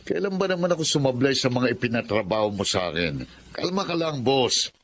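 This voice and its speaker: Casting: Filipino hitman, cocky and menacing, medium pitch, radio drama with clear noise behind. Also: sing-song, a little fast, a bit bright. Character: ruthless drug-using male hitman, cocky henchman of a crime boss. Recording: noisy radio drama with clear background sound.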